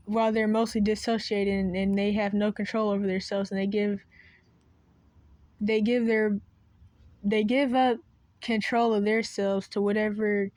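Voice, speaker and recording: A woman's voice: medium pitch, a little mellow, no room sound. A woman talking straight to camera in a meandering, unscripted monologue, often losing her train of thought and starting over, her voice cracking. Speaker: video creator.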